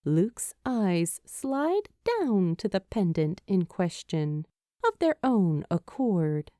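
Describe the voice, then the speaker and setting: A woman's voice: lowish voice, sing-song, slow, dark tone, no echo, clean studio sound. A woman reading a scripted story aloud as a fan fiction narrator.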